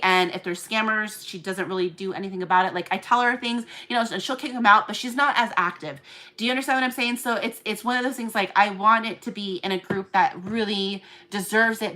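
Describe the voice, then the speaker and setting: Bright-voiced woman with a Kiwi accent. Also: medium pitch. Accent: New Zealand. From New Zealand. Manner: rambling, with many fillers and restarts. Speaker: online seller and collector group admin. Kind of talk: casual livestream chat.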